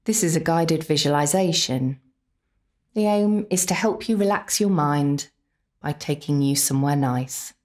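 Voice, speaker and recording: Female guide for relaxation narration: low register, very slow, soothing delivery with measured pauses. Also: darkish tone. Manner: unhurried, soothing, deliberate pauses. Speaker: complementary therapist leading guided relaxation. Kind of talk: guided visualisation, scripted narration.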